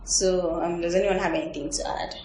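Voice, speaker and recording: A woman's voice: lowish voice, steady tone, fairly quick, dark tone, far from the mic. A woman hosting a casual panel review, giving her opinions and thinking aloud as she talks. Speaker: host of a team film review. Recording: echoey room, off the mic, faint noise.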